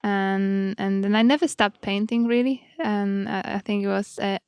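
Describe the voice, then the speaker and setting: A woman of thirty speaks in a smooth, resonant voice. She tells her story calmly in simple sentences. The sound is close and dry. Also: medium pitch, normal speed, no room sound. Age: 30s. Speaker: artist, panel guest. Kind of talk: casual storytelling.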